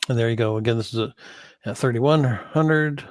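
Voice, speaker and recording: A man giving an informal screen-share tutorial as an instructor, thinking aloud in a halting way and correcting himself as he goes. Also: medium pitch, darkish tone, no echo, studio quality. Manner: halting, hesitant delivery with self-corrections. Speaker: course instructor in church sound.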